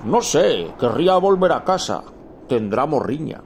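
A man plays a naive, placid king from a comic radio drama, earnestly puzzling things out. His voice sits somewhat high and moves with lively intonation, over faint background noise. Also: a little slow, vocal fry, full character voice. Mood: naive musing. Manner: innocent, earnest musing. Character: placid, naive King Gaspar, simple-minded musing Wise Man. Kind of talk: comic radio play acting.

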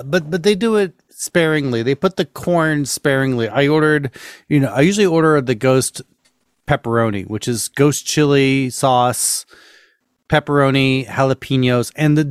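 A man with a mid-pitched voice hosts a casual podcast. He stresses his points by drawing words out, and the sound is dry with very little room. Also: dry recording. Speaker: podcast host. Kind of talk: casual chat.

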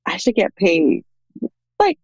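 A woman in her thirties from the Bahamas, telling personal stories conversationally as an interview guest, animated when she quotes people. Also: medium pitch, slow pace, no echo, lo-fi sound. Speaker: podcast guest, small business owner.

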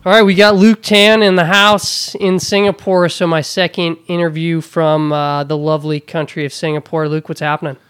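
A man's voice: on the high side, flat delivery, normal speed, hardly any pauses, a bit bright, clean tone, faint music behind. A man hosting a podcast interview in a hotel room, enthusiastic and full of fillers. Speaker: podcast host.

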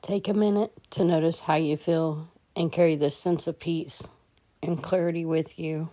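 A woman guides a meditation in a low, soft-edged voice. Her tone is dark and mellow, and she gives each instruction gently and calmly. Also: moderate pace.

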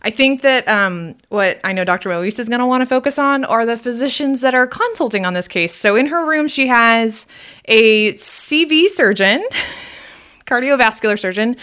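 A woman hosting a casual podcast, explaining things in a chatty, conversational way and quick to laugh. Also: on the high side, animated, fairly bright tone, clean tone. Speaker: podcast host.

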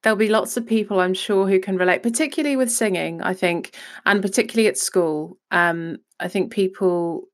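A woman hosts a podcast and gently draws out her guest, affirming what she hears and filling pauses with ums. Her voice sits at a middling pitch and sounds clean. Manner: friendly, affirming, many ums. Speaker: podcast host. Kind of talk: interviewing a guest.

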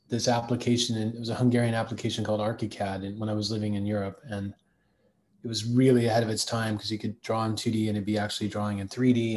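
A man's voice: lowish voice, a little mellow, a little hiss or hum. Man telling a rambling anecdote. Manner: rambling anecdotal storytelling with fillers and restarts. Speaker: design agency co-founder. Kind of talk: conversational interview answers.

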